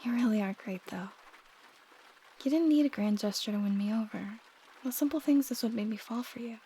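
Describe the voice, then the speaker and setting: A woman acting as a loving young female roommate with a fairly high, soft voice that often slips into a whisper. She talks intimately to the listener in an ASMR roleplay, shy but playfully teasing. There is clear noise behind the recording.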